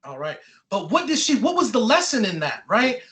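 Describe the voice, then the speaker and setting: A high-pitched, bright voice of an author leading a children's read-aloud. The pitch swings in a lively way through questions and repeated answers. The delivery is encouraging and interactive, full of little check-ins. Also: normal speed. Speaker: author reading own children's book and quizzing children.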